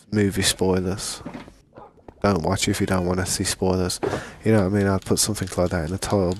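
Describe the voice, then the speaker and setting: A man's voice: low voice, background noise. A man streaming a game, rambling in a laid-back way and often saying "man", with a lingering cough. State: still has a lingering cough. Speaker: gaming streamer. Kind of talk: casual gameplay chat.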